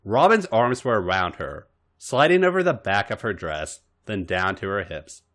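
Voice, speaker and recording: A man reading scripted fiction aloud as an expressive story narrator. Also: fairly low pitch, normal speed. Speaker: podcast story reader.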